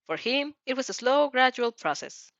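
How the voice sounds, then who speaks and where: A woman reading a story aloud as narrator, dramatic and a little halting, stumbling over some words. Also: high voice, sing-song, creaky, fairly bright tone, no echo, lo-fi sound. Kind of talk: expressive fan fiction read-aloud.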